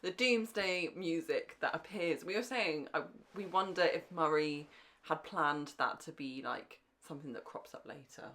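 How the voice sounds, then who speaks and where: A woman's voice: deep voice, normal speed, fairly bright tone. A woman co-hosting a podcast in casual, conversational talk. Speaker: podcast co-host. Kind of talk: casual chat.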